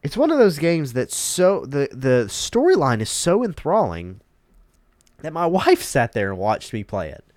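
A man's voice: fairly high pitch, huge pitch swings, a little slow, darkish tone, no room sound. A man hosting a casual gaming commentary, congested and emphatic, repeating words for emphasis. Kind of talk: casual commentary reading articles.

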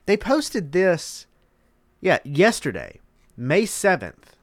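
A man's voice: high voice, wildly swooping pitch, takes it slowly, dry recording, clean studio sound. A man hosting a casual gaming commentary, congested and emphatic, repeating words for emphasis. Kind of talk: casual commentary reading articles.

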